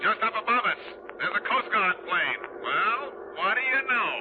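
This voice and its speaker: Cool dry radio detective, 1940s crime show, teasing, tinny noisy recording. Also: male voice, high-pitched, expressive, moderate pace. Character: cool, wisecracking male amateur detective.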